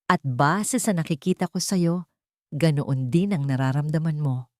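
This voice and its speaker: A woman narrator plays a concerned male reporter from a dramatic audio story. The delivery is earnest and measured, gently persuasive. The sound is dry and close. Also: low voice, dry recording.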